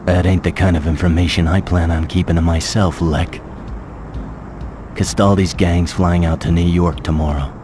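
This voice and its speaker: Actor as a low-voiced laconic tough wheelman. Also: fairly even intonation, moderate pace, background noise, lightly acted. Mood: cool, guarded. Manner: laconic, understated. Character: terse, tough undercover wheelman, cool and guarded. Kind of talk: dramatic video game cutscene acting.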